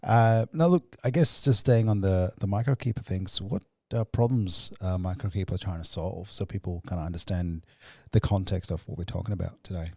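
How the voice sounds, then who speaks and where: A man hosting an interview podcast casually, with informal, halting questions that circle before they land. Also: deep voice, a little mellow, no echo. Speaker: podcast host.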